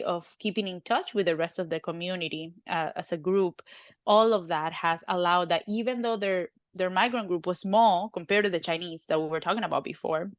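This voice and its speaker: A woman's voice: on the low side, no echo, dull audio. A woman from Cuba, an academic guest, explaining at length in a conversational interview and often checking in with "right?". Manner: animated, frequent "right?" tag questions.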